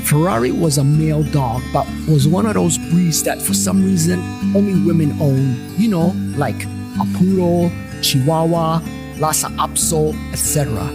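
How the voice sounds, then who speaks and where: Guy narrating a funny first person story, wry and irreverent, loud guitar music behind him. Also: on the high side, moderate pace, vocal fry. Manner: wry, irreverent, rambling asides to the listener. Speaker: storyteller narrator and host of a paranormal investigations series. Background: plucked guitar music.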